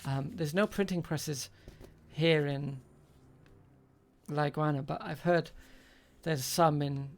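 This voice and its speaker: A game master with a creaky voice and a hesitant delivery, improvising tabletop roleplay as a shopkeeper. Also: medium pitch, steady tone, takes it slowly, pauses often.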